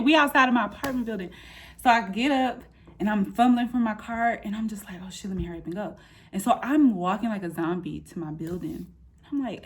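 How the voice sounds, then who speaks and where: A woman of thirty giving a casual personal update, talking in a candid, informal, slangy way. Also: mid-range voice. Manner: candid, informal, slangy, animated retelling with quoted asides. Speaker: vlogger. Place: apartment room, indoors.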